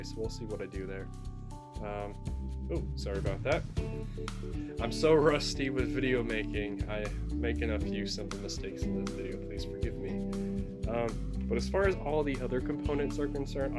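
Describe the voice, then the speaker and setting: A young man with a congested voice, giving a casual, rambling update on a building project, often correcting himself and apologizing. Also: medium pitch. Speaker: LEGO builder vlogger. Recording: guitar music underneath. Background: guitar music.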